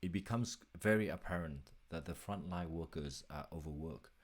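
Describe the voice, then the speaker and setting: Very deep-voiced man presenting. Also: normal speed. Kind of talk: scripted slide narration.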